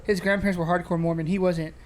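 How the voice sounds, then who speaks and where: A man's voice: sits high, little pitch movement, fast. A man in his late thirties hosting a podcast, talking in a casual, blunt and profane way. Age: 30s. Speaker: podcast host. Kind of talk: casual chat.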